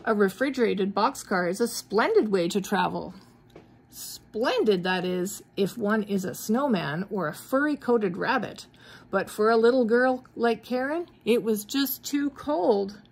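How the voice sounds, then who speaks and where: An older woman reads a picture book to small children. Her voice is a little husky with a cold and rises and falls animatedly. She breaks off for gentle questions to her listeners. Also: medium pitch, unhurried. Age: middle-aged or older. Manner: expressive, questioning asides to young listeners. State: mild cold. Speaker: grandmother reading to grandchildren. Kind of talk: children's storybook read-aloud with asides.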